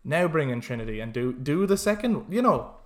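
A man co-hosting a casual discussion podcast, talking in an animated, opinionated way with plenty of swearing. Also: fairly high pitch, brisk. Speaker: podcast co-host. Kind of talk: casual film discussion chat.

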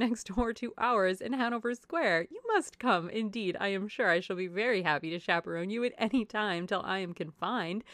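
A woman voicing a merry, silly young married gentlewoman in a classic novel, effusive and giggly. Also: medium pitch, no echo, studio quality, natural voice. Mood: gushing, pleading. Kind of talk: audiobook character voice, classic novel.

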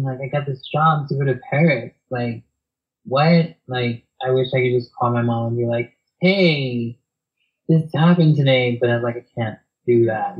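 Soft guy opening up in an interview, lots of ums, sorta muffled recording. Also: medium pitch. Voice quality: soft-spoken. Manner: reflective and hesitant, many fillers and restarts, soft laughs. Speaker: guest. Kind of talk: reflective interview conversation.

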